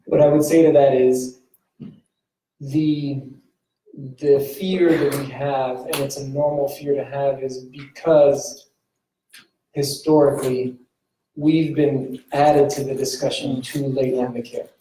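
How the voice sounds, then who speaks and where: Earnest man, flat and dark, off mic. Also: mid-range voice, takes it slowly, distant mic, slight background noise. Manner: earnest, repeats words while searching. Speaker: palliative medicine physician. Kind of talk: panel answer. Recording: roomy hall from off mic.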